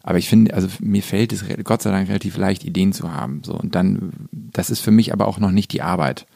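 A man's voice: deep voice, steady tone, vocal fry, mellow, no room sound, studio quality. A man talking casually as a musician guest in an interview, thinking aloud and often stopping to search for words. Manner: reflective, halting, searching for words. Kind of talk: casual conversational interview talk.

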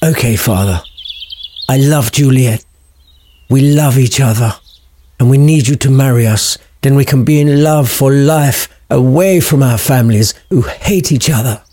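A man's voice: mid-range voice, a little slow, warm tone, pitched above the performer's own voice, close to an everyday voice. A man voicing an earnest, lovestruck young man in a storybook retelling. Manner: earnest, eager.

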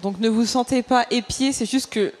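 A woman of twenty-four from the French suburbs, speaking informally and haltingly to an audience as a panel speaker. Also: on the high side, a little mellow. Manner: casual, hesitant, halting. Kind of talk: informal self-introduction to an audience.

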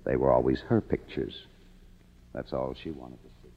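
A man's voice: a bass voice, fairly even intonation, moderate pace, slightly rough, heavily acted. An actor playing a world-weary, cynical man, wry and hardboiled, in a 1950s radio drama. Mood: wry, sardonic. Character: cynical, world-weary kept man narrating his story. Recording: muffled old radio recording, music behind.

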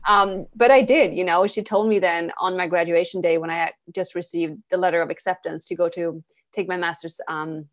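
A woman's voice: fairly low pitch, fairly even intonation, normal speed. A woman from Sweden talking casually and anecdotally as a guest on a podcast. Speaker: podcast guest, climate activist and speaker. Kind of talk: casual, anecdotal interview talk.

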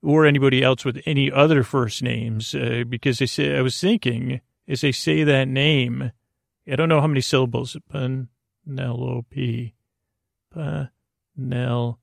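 A man hosting a sleep podcast with a meandering, halting bedtime monologue, gently whimsical and reassuring, often drifting into tangents. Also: mid-range voice, fairly even intonation, vocal fry, no echo, clean studio sound.

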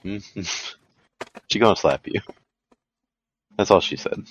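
Male podcast co-host, deep voice, informal and tentative, thinking out loud. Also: slow pace, slightly rough, low-quality recording. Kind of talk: casual chat.

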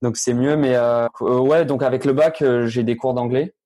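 Teenage male tennis player, mellow voice, halting speech full of fillers. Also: medium pitch, fairly even intonation. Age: teens. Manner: hesitant, many fillers and restarts. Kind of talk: casual interview answers.

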